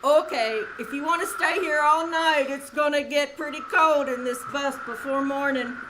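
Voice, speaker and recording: A woman's voice: sits high, normal speed, natural voice. A woman playing an earnest, polite, folksy male bus driver in a 1940s-style radio comedy. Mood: apologetic. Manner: folksy, apologetic. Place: small theater stage. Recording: live stage performance with background noise.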